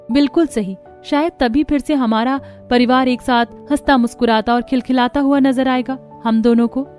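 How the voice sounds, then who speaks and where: A woman's voice: mid-range voice, a little mellow, lightly acted. A woman voicing a young daughter-in-law in a cartoon family story, with an emphatic, earnest delivery.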